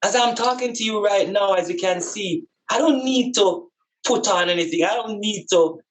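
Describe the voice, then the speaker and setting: A Jamaican man speaks in a somewhat high-pitched voice. He talks in a reflective, spiritual style, stressing his points like a preacher. He often repeats phrases and poses rhetorical questions. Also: normal speed, slight background noise, poor audio.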